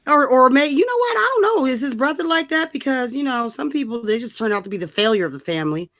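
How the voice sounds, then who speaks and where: Female co-host, bright sharp tone, sassy slangy profane gossip delivery. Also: high-pitched, animated, fast talker. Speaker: show co-host. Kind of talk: casual gossip chat, profane and joking.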